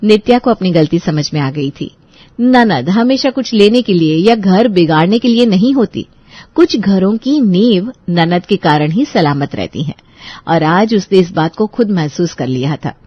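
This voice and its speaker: A woman's voice: lowish voice, animated, measured pace, husky, no echo, muffled recording. A woman narrating a scripted Hindi family bedtime story.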